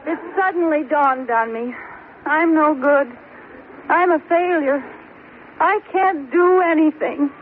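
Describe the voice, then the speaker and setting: A mournful housewife sunk in stubborn self-pity. Her high voice delivers gloom in a deadpan radio-comedy style. The old broadcast is tinny and heavy with noise. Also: takes it slowly. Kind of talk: 1940s-50s radio sitcom acting.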